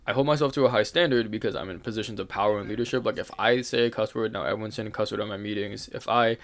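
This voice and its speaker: A man's voice: on the low side, racing, a bit bright. A young man hosting his channel, telling a personal story in a candid, rambling way, with fillers, swearing and occasional laughs. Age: young adult. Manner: laughs now and then while talking. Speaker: channel host. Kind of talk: casual storytelling rant.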